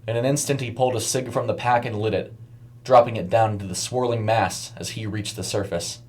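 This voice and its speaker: A man's voice: on the low side, steady tone, a little fast, darkish tone. A man narrating a scripted horror short story in a dramatic storytelling style. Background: music under the voice.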